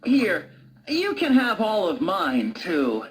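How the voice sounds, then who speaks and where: A woman's voice: medium pitch, wildly swooping pitch, takes it slowly. A woman voicing an earnest, flustered male cartoon wolf who hesitates and stammers, eager to please a friend. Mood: friendly, shy. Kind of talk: animated cartoon dialogue. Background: music.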